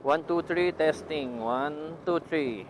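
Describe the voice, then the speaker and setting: A man's voice: a little high, takes it slowly. A Filipino man giving casual vlog commentary as he rides a motorcycle, speaking into a helmet intercom microphone. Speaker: motovlogger testing gear. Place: outdoors on a motorcycle on the road. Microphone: Bluetooth helmet intercom microphone. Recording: helmet intercom mic with wind, engine noise. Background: road and vehicle noise.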